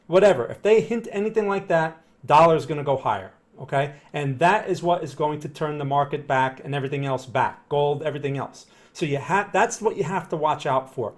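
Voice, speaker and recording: A man in his thirties with a New Jersey accent, hosting a live market-analysis stream from a small room, talking in a loose conversational way and often checking in with "right?". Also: a little high. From northern New Jersey, USA. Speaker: market analyst livestream host. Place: small room in front of a blue backdrop.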